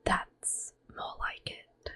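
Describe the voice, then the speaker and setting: Slow, whispering woman doing ASMR commentary. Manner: hushed, unhurried narration of own play. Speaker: ASMR gameplay host. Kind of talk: soft-spoken ASMR game commentary with whispering.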